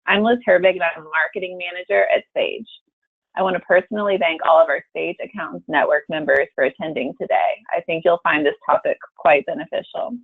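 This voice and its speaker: A woman's voice: fairly low pitch, fairly even intonation, a little fast, creaky, slightly rough, no room sound. Woman reading an intro over a phone line. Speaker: marketing manager hosting the webcast. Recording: tinny phone line, dry.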